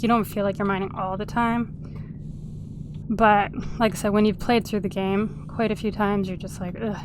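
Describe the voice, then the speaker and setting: Woman host, a bit sniffly, slow relaxed commentary over gameplay, noticeable background noise. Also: on the high side. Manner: chatty, hums and sings little tunes while playing. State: sniffly from a cold. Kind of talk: casual let's-play commentary.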